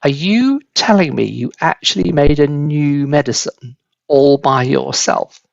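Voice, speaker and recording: A man voicing a cantankerous, boastful old grandmother in a storybook, shouting in a strident, scolding way. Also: on the high side, measured pace, gravelly, dry recording, muffled recording. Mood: scornful. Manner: scolding, mocking.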